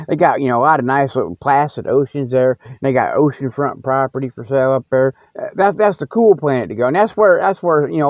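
A man's voice: fairly high pitch, no echo. A man hosting his own radio show, rambling solo in a folksy, colloquial, teasing way. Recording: tinny, band-limited radio sound, very dry.

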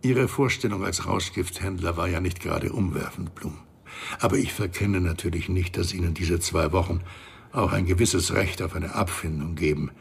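An actor playing a shady, condescending crime boss in a radio thriller, with a husky, gravelly voice and a smug, wordy manner. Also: male voice, low voice, exaggerated voice. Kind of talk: radio crime drama acting.

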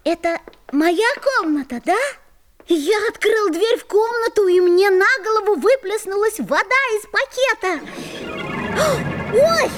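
A high-pitched, animated little boy storyteller. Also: unhurried. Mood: eager, surprised. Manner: expressive, wide-eyed storytelling. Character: Mitya, an excitable second-grade boy telling his adventure. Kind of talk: audio fairy-tale narration and acting.

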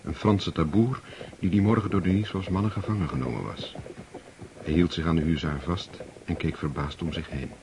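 A man playing the solemn narrator of a war tale in a radio drama, measured and literary. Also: low voice, noisy background. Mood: grave, descriptive.

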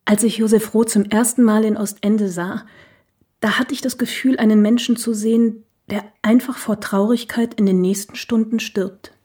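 A woman's voice: fairly high pitch, fairly even intonation, normal speed, warm tone, husky. An actress playing a woman novelist fondly remembering a friend, reflective and literary, in a radio play. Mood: wistful, fond.

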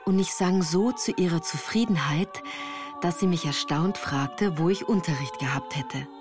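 A woman's voice: fairly low pitch, moderate pace, over music. A woman reading scripted first-person literary narration for a radio play in a composed, articulate storytelling manner.

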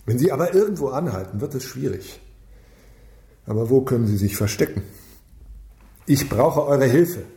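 A man's voice: mid-range voice, lively intonation, dark tone, slight background noise, lightly acted. Commanding police chief in a roomy space man acting. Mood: urgent, commanding. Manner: brisk, commanding. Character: driven, businesslike male police team leader. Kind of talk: radio crime drama acting. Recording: roomy echo, music underneath.

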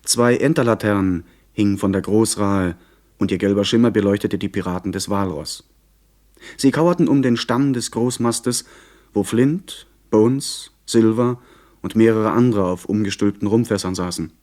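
Actor as low-voiced adventurer telling his story. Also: normal speed, darkish tone, close to an everyday voice. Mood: tense, absorbed. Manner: vivid, carefully paced storytelling. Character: adventurous young man recounting his pirate adventure. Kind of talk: radio play narration in first person.

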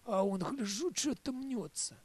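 A man's voice: very high voice, measured pace, darkish tone, no echo. A man performing a rambling first-person monologue as a coarse, hard-drinking storyteller, in profane street slang. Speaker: author-performer of a one-man audio play.

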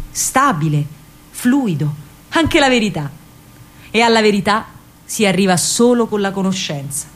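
A woman voicing an earnest older man with a Roman accent, a grave and deliberate anti-fascist mentor in a radio drama. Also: medium pitch, natural voice. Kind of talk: theatrical monologue, radio drama.

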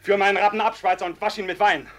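An actor playing a rough, agitated robber who barks exclamations in a classic radio drama. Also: male voice, sits high, level tone, fast talker, slight background noise, close to an everyday voice. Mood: jubilant.

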